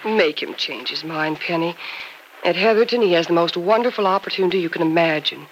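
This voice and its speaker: An actress with an American accent playing a concerned, urgent friend in an old-time radio soap opera, speaking emphatically. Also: female voice, fairly low pitch, fairly quick, close to an everyday voice, low-quality recording. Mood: urgent, persuasive. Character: concerned, urgent female friend giving firm advice. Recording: old radio broadcast with faint noise.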